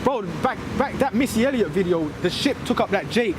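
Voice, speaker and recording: Brief: London man, high voice, emphatic slangy street talk, traffic noise behind. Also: lively intonation, a little fast. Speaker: street discussion member. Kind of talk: casual street discussion. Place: outdoors by a road.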